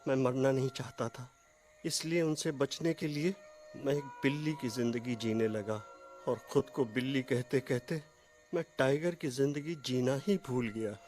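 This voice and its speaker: A man voicing a timid, faltering grown tiger in an animated film. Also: medium pitch, moderate pace, soft background music, lightly acted. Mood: sombre confession. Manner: faltering, stammers when frightened. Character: timid adult male tiger living as a cat.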